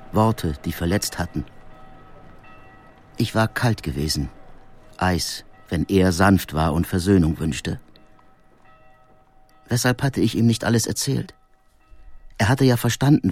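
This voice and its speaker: Low-voiced brooding painter. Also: male voice, darkish tone, breathy edge, heavily acted. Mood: remorseful. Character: brooding, sensitive male painter reading his diary. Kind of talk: dramatic first-person diary reading.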